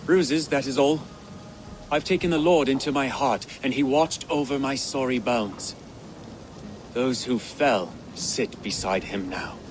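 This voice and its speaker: A man's voice: medium pitch, a little slow, lightly acted. A man playing an earnest, devout Saxon. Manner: earnest, pious. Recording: game cutscene with loud ambient noise.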